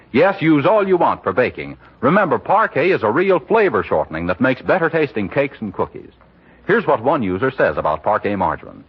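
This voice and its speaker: Old timey radio announcer guy, bit husky, very animated sales read, muffled 1940s broadcast sound. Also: mid-range voice. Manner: polished, emphatic sales delivery.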